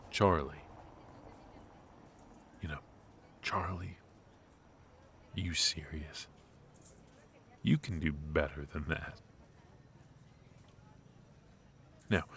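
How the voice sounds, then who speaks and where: A man playing a suave, teasing, flirtatious mafia boss in an intimate ASMR roleplay, murmuring asides with hums and pauses. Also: a bass voice, a bit bright, slight background noise, full character voice. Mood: sly, probing. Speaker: voice actor in an audio roleplay. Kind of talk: ASMR roleplay acting, intimate one-sided conversation.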